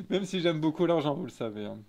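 A man's voice: a little high, animated, normal speed, a little mellow. A man in his thirties with an eastern French accent, chatting casually and candidly on a live stream in informal slang. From Lorraine, France. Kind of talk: casual chat, reading and answering live chat. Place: small indoor photo studio office.

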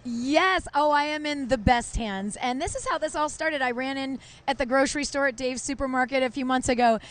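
A woman's voice: sits high, fairly quick. A middle-aged woman working as a TV field reporter, chatting effusively into a handheld mic while interviewing live on location. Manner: gushing, effusive. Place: outdoors at a fire station. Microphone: handheld reporter microphone.

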